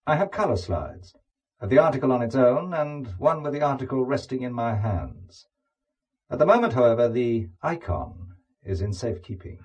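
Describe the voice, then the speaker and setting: An actor playing a wry English gentleman turned reluctant spy in an audio drama, speaking in a dry, understated way. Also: medium pitch, very animated, normal speed, husky. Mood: cool, businesslike.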